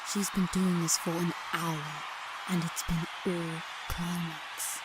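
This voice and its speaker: A woman's voice: lowish voice, level tone, slow, heavy background noise. A woman playing a starstruck seventeen-year-old girl who narrates earnestly and dramatically in a fan-dubbed comic drama, with music playing. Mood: awed.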